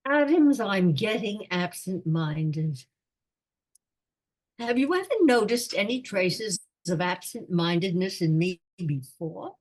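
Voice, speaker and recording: Radio comedy role: genial, forgetful elderly aristocrat played by a woman, pompous musings, unhurried delivery, slightly low register. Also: animated. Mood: vague, polite. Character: doddering, absent-minded elderly English lord, genial, rambling. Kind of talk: radio play comedy acting.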